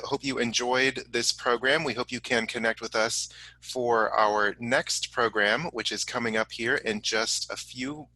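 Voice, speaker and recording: A man hosting a casual live question-and-answer program, speaking haltingly as he relays audience questions. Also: mid-range voice, bright, forceful tone, dry recording, rough recording. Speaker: host of a science museum online program.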